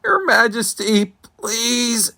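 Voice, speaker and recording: A man's voice: very high voice, big pitch swings, drawn-out words, pushed and bright, pitched above the performer's own voice, heavily acted. A man doing a small, groaning turtle. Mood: pleading. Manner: pleading, groaning. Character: small weary male turtle under the stack. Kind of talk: storybook reading.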